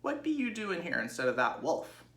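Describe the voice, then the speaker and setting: A man voicing a hoarse, blunt old ewe with rustic country speech in a read-aloud storybook. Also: a little high, wildly swooping pitch, normal speed, fairly bright tone, voice pushed up, heavily acted. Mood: gruff. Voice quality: hoarse, croaking. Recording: echoey room.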